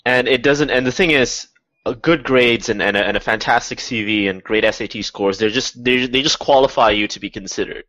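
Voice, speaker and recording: A man's voice: mid-range voice, brisk, pushed and bright, no echo. A young man guest speaking in a self-deprecating, hesitant way, with many fillers, in a casual interview. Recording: dry, dull lo-fi audio.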